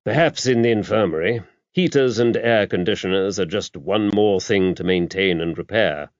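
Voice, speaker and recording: Man reading as mild, low-voiced, patient clergyman. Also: normal speed, no room sound, lightly acted. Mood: measured. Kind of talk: audiobook character dialogue. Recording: dry, dull lo-fi audio.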